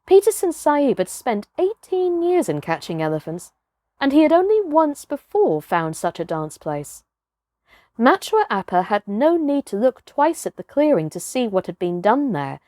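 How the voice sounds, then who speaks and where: A woman's voice: on the high side, sing-song, unhurried, darkish tone, no room sound, studio quality. A woman reading a children's story as a dramatic narrator, with theatrical, emphatic phrasing.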